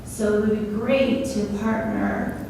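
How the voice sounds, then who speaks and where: A middle-aged woman in the audience asks a long, rambling question in a soft, hesitant voice. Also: mid-range voice, wildly swooping pitch, takes it slowly. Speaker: audience member asking a question. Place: conference hall, off-mic. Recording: distant, very echoey hall with noise.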